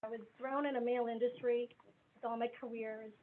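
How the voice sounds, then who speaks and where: A woman's voice: on the high side, flat delivery, fairly quick. Woman speaking over a tinny call line. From grew up in the US Midwest. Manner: candid, conversational storytelling. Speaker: business coach presenting. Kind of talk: webinar self-introduction. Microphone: video call. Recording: tinny phone-like video call line with faint noise.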